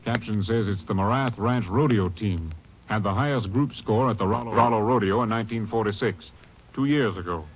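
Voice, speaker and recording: An actor voicing a brisk, businesslike ranger captain in a 1940s radio drama. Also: male voice, fairly low pitch, slight background noise, natural voice, poor audio. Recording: old radio broadcast.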